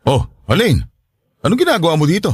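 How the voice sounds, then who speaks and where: A man's voice: on the high side, huge pitch swings, quick pace, husky, dry recording, studio quality, heavily acted. A man playing a cold, irritable middle-aged father in a radio drama, curt and dismissive. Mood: cold, irritated. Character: cold, irritable father turning his daughter away.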